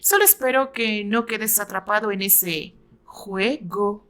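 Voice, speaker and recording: A woman's voice: medium pitch, takes it slowly, a bit bright. Woman voicing a curious, joking young man. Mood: teasing. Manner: teasing, inquisitive.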